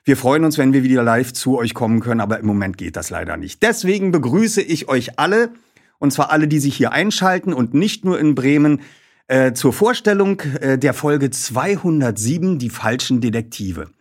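Man hosting and reading, very varied pitch. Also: sing-song, normal speed. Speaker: presenter reading from a novel. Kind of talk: casual hosting and audiobook narration.

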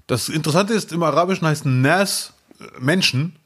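A man co-hosting a podcast, joking and reacting quickly with exclamations in casual chat. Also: on the high side, a bit bright. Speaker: podcast co-host.

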